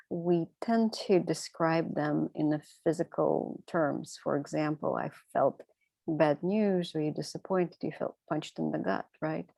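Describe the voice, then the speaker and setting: A woman co-presenting a webinar, explaining conversationally in halting phrases with everyday examples. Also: low-pitched, gravelly, darkish tone, no room sound, rough recording. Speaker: co-presenter, physician.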